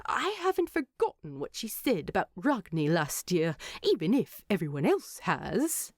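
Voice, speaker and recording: A woman voicing a tired, fussy, gentle male hobbit in a fantasy story, with a hesitant, muttering manner. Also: on the low side, very animated, unhurried, no echo, studio quality. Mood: insistent, weary.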